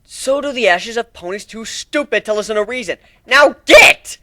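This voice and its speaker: A man's voice: very high-pitched, expressive, moderate pace, no echo, voice pushed up, exaggerated voice. A man voicing a brash, sardonic warrior leader who barks mocking commands in a fantasy story. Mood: shouting, fierce. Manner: commanding, mocking, shouts orders.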